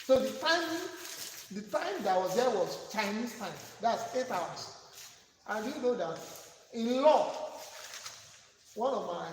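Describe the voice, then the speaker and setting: A Nigerian man giving a didactic classroom lecture, repeating key points and checking with his listeners through questions. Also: sits high. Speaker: CCTV installation instructor. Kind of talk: classroom lecture with real-life examples. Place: bare indoor classroom with table and window. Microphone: camera or phone standing a few metres away. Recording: echoey, noisy room, far from mic.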